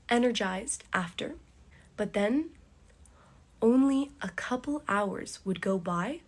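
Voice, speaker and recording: A woman hosting a slow English podcast, speaking deliberately with pauses, congested and with a cough. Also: medium pitch, slightly rough. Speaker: podcast host teaching English. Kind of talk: slow, clear English listening lesson.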